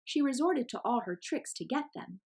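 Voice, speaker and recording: A woman reading a picture book aloud as an expressive storyteller for children. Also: high-pitched, normal speed, breathy edge.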